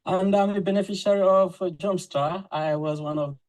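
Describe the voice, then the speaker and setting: A man's voice: high voice, steady tone, moderate pace, a little mellow, rough recording. A young man from Mali on a video call, earnestly telling his story, hesitating with fillers. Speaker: panelist, coaching client. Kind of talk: personal account on a video call.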